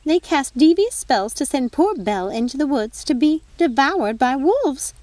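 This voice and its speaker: High, huffy fairy godmother. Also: very animated, normal speed, a little mellow, no echo. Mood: officious outrage. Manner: indignant, theatrical, officious. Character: prim, huffy, bossy old fairy godmother.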